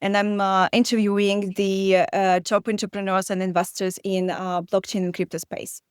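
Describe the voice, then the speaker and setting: A woman talks as an interview guest, her voice smooth and clear at a middle pitch. She pauses often with fillers and restarts her sentences. The recording is dry and close. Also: level tone, no room sound. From Belarus. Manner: hesitant, many fillers and restarts. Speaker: guest investor. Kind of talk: conversational interview.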